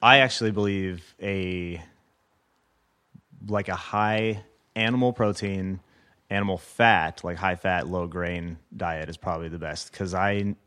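A man with a fairly low voice talks as a guest in a loose podcast chat. He is informal and self-deprecating, and casually drops swear words. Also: fairly even intonation, unhurried, bright, forceful tone, clean tone. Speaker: guest, butcher.

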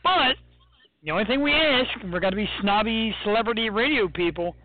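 A man co-hosting a casual radio show, his voice pitched high and swinging widely as he jokes and teases. The sound is dry and thin, with a phone-like narrow band. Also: fairly quick, no echo. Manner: joking, teasing, animated.

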